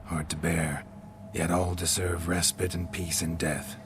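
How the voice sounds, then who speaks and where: An actor playing a stoic, weary monster hunter in a video game, terse and dryly sardonic. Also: male voice, deep voice, level tone, unhurried, background noise. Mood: solemn.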